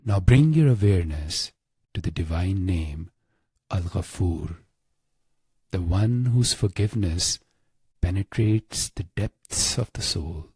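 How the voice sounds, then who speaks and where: A man narrating a guided meditation in a hushed, measured way with long pauses. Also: deep voice, expressive, slightly rough, no echo.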